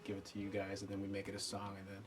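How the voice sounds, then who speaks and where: A man's voice: lowish voice, monotone, racing, far from the mic, a little hiss or hum. A young man in his twenties from Connecticut, a band musician speaking in a laid-back, hesitant way full of "um". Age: 20s. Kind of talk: casual documentary interview.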